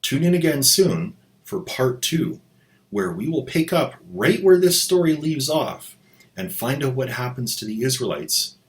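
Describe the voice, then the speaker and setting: A man's voice: medium pitch, sing-song, a little slow. A man hosting a channel, giving sarcastic commentary with wry, mocking asides. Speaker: host.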